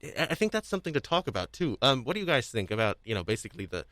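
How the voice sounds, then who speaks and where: A man hosting a casual panel discussion, speaking haltingly and often repeating himself as he restarts phrases. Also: medium pitch, very animated, fairly bright tone, no room sound. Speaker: podcast host.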